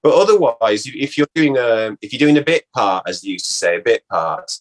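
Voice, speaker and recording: British male, middle-aged, medium pitch, halting conversational delivery, repeats words for emphasis. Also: expressive. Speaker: guest former actor. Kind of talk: casual interview chat.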